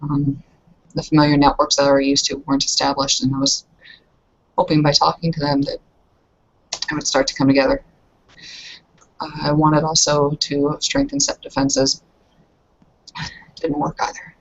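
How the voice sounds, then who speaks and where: Lady doing a ashamed young prisoner woman in an rpg, halting, subdued, muffled call w some noise. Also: low voice, gravelly. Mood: hesitant, defensive. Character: cold, shivering, ashamed young female prisoner, stoic. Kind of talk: tabletop roleplay in character. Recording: dull, slightly noisy online call audio.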